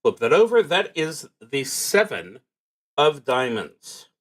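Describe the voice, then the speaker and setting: A man narrating a solo tabletop game on a livestream, thinking aloud in a casual, halting way with pauses mid-sentence. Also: a little high, very animated. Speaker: solo tabletop roleplaying streamer.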